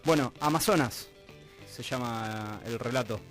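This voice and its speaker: A man with an Argentine accent hosting a conversational radio interview, reflective and halting as he builds long questions. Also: medium pitch, a bit bright, soft background music. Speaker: radio host interviewing guests. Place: radio studio.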